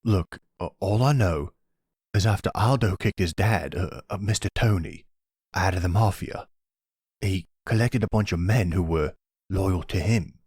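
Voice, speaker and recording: Nervous, hesitant male mafia henchman, acted, husky. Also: low-pitched, a little slow, darkish tone, no room sound, studio quality, exaggerated voice. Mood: nervous, pleading. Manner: hesitant, stammering, slangy bro talk. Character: nervous, chatty, friendly dumb mafia henchman. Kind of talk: audio roleplay drama.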